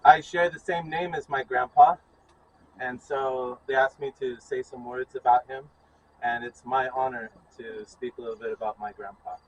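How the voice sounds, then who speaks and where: Loud-voiced man giving a eulogy. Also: medium pitch, fairly even intonation, moderate pace, a little hiss or hum, poor audio. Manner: loud, self-assured delivery with many "um"s. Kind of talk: funeral remembrance speech.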